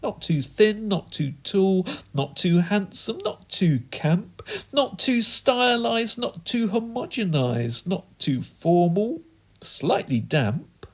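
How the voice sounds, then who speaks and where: A man performing comic spoken-word poetry in a theatrical, whimsical and deliberate manner. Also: high-pitched, very animated. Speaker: performance poet.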